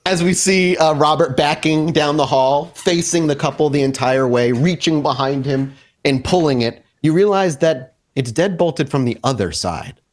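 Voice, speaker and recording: A man improvising narration as game master of a tabletop horror game, descriptive and pausing as he builds suspense. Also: on the high side.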